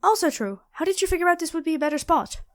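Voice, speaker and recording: A woman playing a nerdy, chatty young student in an audio roleplay, expressive and flustered, often apologizing and correcting herself. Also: extremely high pitch, fast. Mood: curious, awkward. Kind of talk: audio roleplay acting addressed to the listener.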